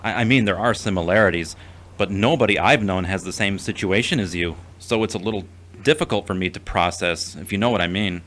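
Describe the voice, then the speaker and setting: A man playing a gentle, reserved, long-suffering character in an audio drama, with a measured, formal manner. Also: low voice. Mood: hesitant, thoughtful. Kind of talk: scripted audio drama acting.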